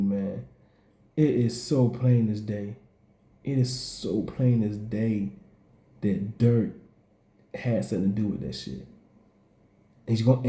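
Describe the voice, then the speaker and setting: A man's voice: on the low side, mellow, reverberant. A man with a Southern accent, rambling in profane street slang as he gives casual commentary on his vlog. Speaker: blogger.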